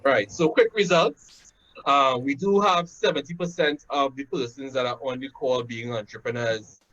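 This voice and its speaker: A man from Trinidad and Tobago with a Trinidadian accent welcomes people to a webinar over a video call. He speaks at a middle pitch in a loose, chatty way, with fillers and "right?" tags. The sound is dull and lo-fi, as from a laptop. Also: normal speed, a bit bright. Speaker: webinar host. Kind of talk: casual webinar introduction. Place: home room, indoors. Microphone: laptop webcam on a video call.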